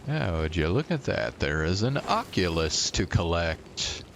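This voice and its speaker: Deep-voiced man casually streaming a game. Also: very animated, a little slow, vocal fry, a bit bright, slight background noise. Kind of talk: casual gameplay commentary.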